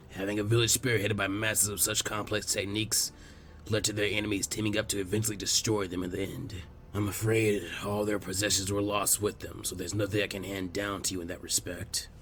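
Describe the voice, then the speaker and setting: A man voicing a calm, kindly old village leader who speaks gravely in a slow, measured way. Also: lowish voice, slight background noise, voice pushed down. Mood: solemn, gentle.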